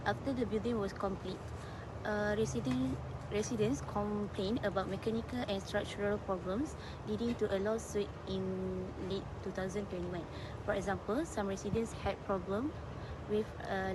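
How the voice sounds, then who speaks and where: A woman narrates a documentary script at a medium pitch. Her delivery is halting, with repeated words, and outdoor vehicle noise is clear in the recording. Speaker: student documentary presenter. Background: urban outdoor ambience, vehicles.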